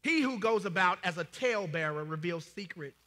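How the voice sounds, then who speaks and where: A man's voice: high-pitched, expressive, fairly quick, pushed and bright. A man playing a solemn, commanding heavenly judge, declaiming scripture in a church stage play. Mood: stern. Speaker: narrator reading scripture. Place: large church hall before an audience. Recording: reverberant church hall.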